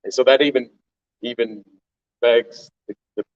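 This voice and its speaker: Older man, halting stage talk. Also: mid-range voice, fairly even intonation. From Tennessee. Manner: halting, with many fillers and restarts. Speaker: co-host, volunteer organization leader. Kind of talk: live panel talk to an audience. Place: stage before an audience. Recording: distant, dull live-event sound.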